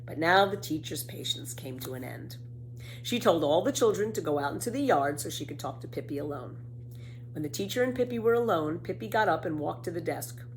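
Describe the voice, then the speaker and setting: Woman, children's story narrator, expressive, lively intonation, faint background noise. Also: on the low side, fairly quick, poor audio. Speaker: storybook reader.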